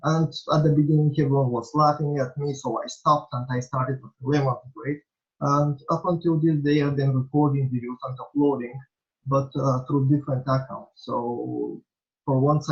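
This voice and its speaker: A young man from Bulgaria, a native Bulgarian speaker with a Bulgarian accent, answering interview questions in a halting way, full of fillers and restarts. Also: mid-range voice. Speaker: interviewee, small vlogger. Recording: dull lo-fi recording.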